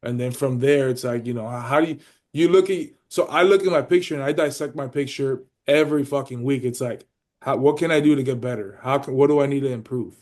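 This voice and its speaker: A man speaks at an everyday middle pitch. He talks loosely and swears freely, padding his thoughts with "you know" and "like" as he explains himself in a casual podcast chat. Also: brisk, a little mellow. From Miami, United States. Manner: loose and profane, heavy on "you know" fillers. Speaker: podcast guest, pro bodybuilder.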